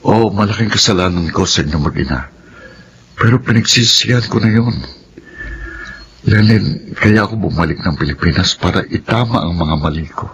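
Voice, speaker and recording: A man's voice: deep voice, normal speed, long pauses, noisy background. An actor playing a remorseful, earnestly pleading lover and father in a Filipino radio drama. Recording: dull radio recording, music bed.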